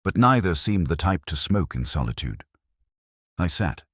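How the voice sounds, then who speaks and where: Very deep, husky male story narrator. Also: big pitch swings, fairly bright tone, no room sound, studio quality. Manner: measured, literary, reflective. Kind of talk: first-person scripted detective story narration.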